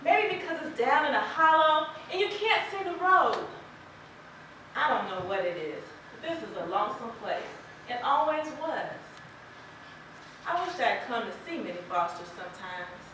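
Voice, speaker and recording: A woman's voice: high voice, animated, moderate pace, sharp tone. A woman playing a plain-spoken, regretful farm wife in a rural period stage play, with a folksy, emphatic delivery. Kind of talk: stage play acting, early 1900s rural American drama. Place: stage performance recorded from audience distance. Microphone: distant camera. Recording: echoey hall from far off, noisy.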